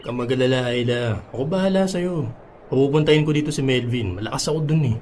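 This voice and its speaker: A man's voice: medium pitch, brisk, a little mellow, slight background noise, close to an everyday voice. An actor playing a cocky, reassuring young male friend in a Filipino radio drama, speaking casually.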